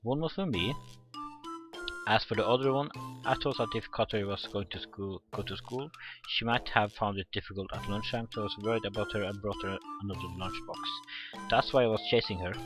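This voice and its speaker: A man hosting a let's play, reading visual novel text aloud in a casual, halting way with asides. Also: on the low side, steady tone, rough recording. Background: game music.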